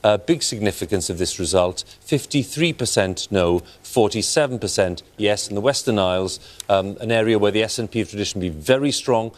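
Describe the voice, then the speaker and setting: A man presenting live election coverage, relaxed with plenty of ums, a Welsh speaker. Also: lowish voice, brisk, few pauses. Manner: relaxed with many fillers. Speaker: news presenter.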